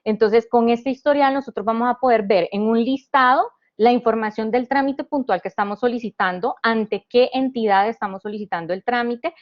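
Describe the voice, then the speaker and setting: A woman from Honduras giving an explanatory presentation as a panelist. She talks through each point step by step, with restarts and "eh" pauses. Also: a little high, dull audio. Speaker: panelist presenting a government digital service.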